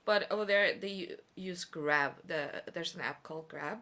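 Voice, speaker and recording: Giggly young woman, bright voice. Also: fairly low pitch, normal speed. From Korea. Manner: laughs often, rambling with restarts. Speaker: podcast guest. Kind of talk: casual chat.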